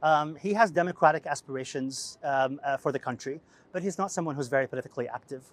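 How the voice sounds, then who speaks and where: A middle-aged man from Syria, an executive editor speaking to camera in an interview, pausing often with fillers and restarts. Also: a little high. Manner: hesitant, many fillers and restarts. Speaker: executive editor of a media outlet.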